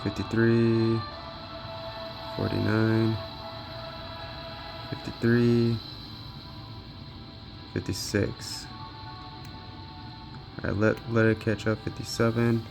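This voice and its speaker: A man's voice: fairly low pitch, flat delivery, extremely slow, gravelly, long pauses, music in the background, poor audio. A man giving casual, off-the-cuff commentary as he tests gaming gear, muttering to himself as he works. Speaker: gaming handheld reviewer.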